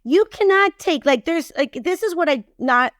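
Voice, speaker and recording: A woman's voice: high-pitched, animated, moderate pace, fairly bright tone, no room sound. Emphatic woman in her fifties, dry sound. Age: 50s. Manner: emphatic, repeats phrases for stress. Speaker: podcast guest, relationship expert. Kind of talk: casual, opinionated podcast conversation.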